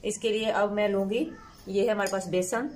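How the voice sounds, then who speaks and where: A woman's voice: mid-range voice, fairly even intonation, normal speed, a little mellow, a little hiss or hum. A middle-aged woman hosting a cooking channel, explaining each step of a home recipe patiently. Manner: patient, instructive, homely. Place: home kitchen.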